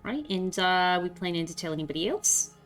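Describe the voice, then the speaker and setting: A woman's voice: fairly low pitch, big pitch swings, fairly quick, fairly bright tone, soft background music. A woman with a stuffy, congested voice playing a tabletop game, talking in a hesitant, giggly way. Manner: hesitant, lots of fillers, giggly. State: congested from a cold. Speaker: player. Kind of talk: casual tabletop roleplay chat.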